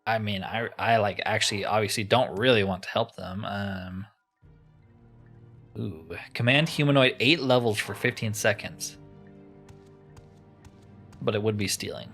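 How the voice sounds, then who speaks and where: A man's voice: on the low side, measured pace, pauses often, a bit bright, faint music behind. Casual man narrating his gameplay. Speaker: gaming video host. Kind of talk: casual game playthrough commentary.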